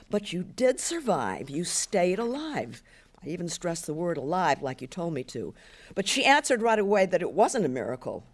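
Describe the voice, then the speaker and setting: An anxious teenage girl pleads with her teacher, as a woman reader puts her on. Her voice sits high and jumps all over the place, tripping on restarts and repeated words. She sounds earnest and restless throughout.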